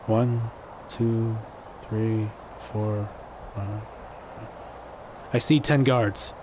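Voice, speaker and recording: Man playing slow, cool magical fighter. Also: on the low side, fairly even intonation, background noise. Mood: focused. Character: cool, confident male fighter with magic powers. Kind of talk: audio drama acting.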